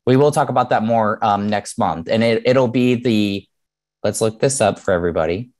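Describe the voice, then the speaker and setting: A man's voice: fairly low pitch, normal speed, a little mellow. A man in his thirties presenting an online seminar over a video call, explaining plainly in a casual way and often checking in with "right?". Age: 30s. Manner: conversational, explanatory, with frequent "um" and "right?". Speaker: mortgage lender teaching a webinar.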